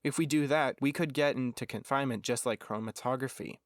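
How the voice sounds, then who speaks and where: A man voicing a decisive, guarded woman who leads prisoners, speaking earnestly and often hushed. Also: medium pitch, fairly quick, dry recording, clean studio sound, lightly acted.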